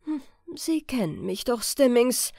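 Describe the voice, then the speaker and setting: A woman's voice: on the high side, very animated, measured pace, creaky, dark tone, no echo, clean studio sound, heavily acted. A woman voicing a hot-tempered, boastful male master thief in an audiobook, scornful and emphatic.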